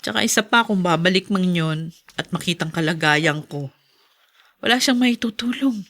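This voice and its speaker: A bitter mother in a radio drama, abandoned and resigned. Her voice is on the low side, world-weary yet emphatic. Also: normal speed, a bit bright. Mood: bitter, dismissive. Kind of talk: Filipino radio drama acting.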